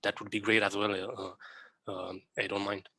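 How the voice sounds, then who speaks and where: A man hosting his own podcast, asking questions in a hesitant, halting way with fillers. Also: fairly low pitch, flat delivery, gravelly, bright, forceful tone, husky, no echo. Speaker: podcast host. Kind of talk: podcast interview.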